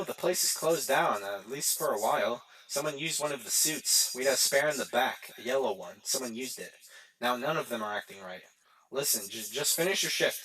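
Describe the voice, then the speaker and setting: A man playing a chatty, evasive pizzeria employee leaving halting, casual phone messages in a game. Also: medium pitch, fairly bright tone, rough recording. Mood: uneasy, urgent. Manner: hesitant, rambling, nonchalant. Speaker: night-shift phone guide. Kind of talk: voicemail-style game monologue, casual and halting.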